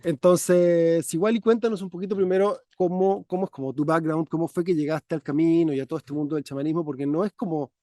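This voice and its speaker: A man hosts an interview in a relaxed, rambling way. His voice is fairly high for a man but mellow in tone. The sound is dry and close. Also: fast, few pauses, no echo. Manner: warm, chatty, many fillers and restarts. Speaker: podcast host. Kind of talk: conversational interview. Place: parents' country house.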